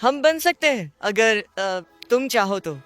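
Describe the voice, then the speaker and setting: A man's voice: high-pitched, animated, slow pace, fairly bright tone, faint music behind, heavily acted. A man playing an earnest, friendly young vigilante hero with a casual, slightly hesitant boyish manner in game dialogue. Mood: awkward, hopeful. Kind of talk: Hindi-dubbed video game dialogue.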